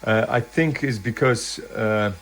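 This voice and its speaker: A man presenting a casual hands-on product demonstration, thinking aloud in loose, halting phrases. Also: lowish voice, a little hiss or hum. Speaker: vintage hi-fi video presenter.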